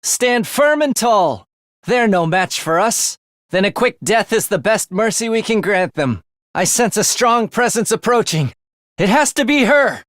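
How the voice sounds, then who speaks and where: Sly, animated young assassin. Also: male voice, high-pitched, measured pace, no room sound, clean studio sound, exaggerated voice. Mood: rousing, confident. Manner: theatrical, teasing, wry. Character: sly, sardonic young male assassin with a dry wit. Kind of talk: video game character voice lines.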